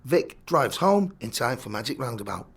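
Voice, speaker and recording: A man playing a meticulous bank-robbery planner in a comedy radio play, husky and methodical. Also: mid-range voice, very animated, fairly bright tone. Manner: methodical, brisk briefing.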